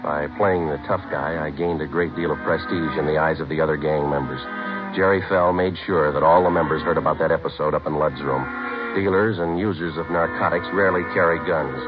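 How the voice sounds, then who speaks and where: An actor playing a terse, hard-boiled undercover cop posing as an impatient gangster in a 1950s radio crime drama. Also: male voice, extremely low pitch. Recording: muffled old radio recording with music.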